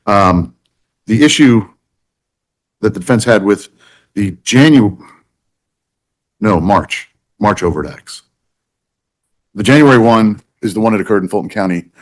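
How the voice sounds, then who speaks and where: A man speaking as a judge in a courtroom discussion, thinking aloud in a halting, deliberative way with false starts. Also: on the low side, lively intonation. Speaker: judge presiding over a court hearing.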